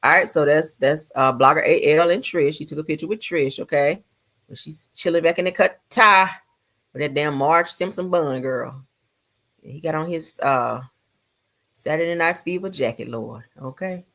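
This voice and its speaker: A woman with a slightly low voice hosts a casual gossip stream. She comments as she watches, sassy and colloquial, stretching out little asides. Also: moderate pace, a bit bright. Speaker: gossip livestream host.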